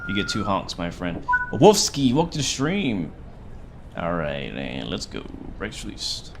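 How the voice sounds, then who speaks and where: Excitable, chatty male streamer. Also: deep voice, a little slow. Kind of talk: casual live-stream commentary. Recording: game train noise underneath.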